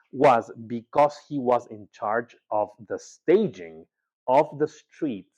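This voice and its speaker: A man from Ecuador giving a conversational presentation as a guest speaker, often pausing with filler words and repeating himself. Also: medium pitch, darkish tone. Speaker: guest speaker, agency founder. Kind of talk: presentation talk. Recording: slightly dull, lo-fi sound.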